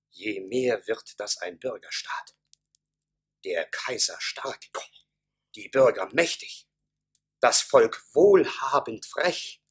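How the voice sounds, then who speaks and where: An actor playing a fox-sly imperial minister in a radio play, husky and conspiratorial. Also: male voice, mid-range voice. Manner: deliberate, conspiratorial. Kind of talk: theatrical radio play acting.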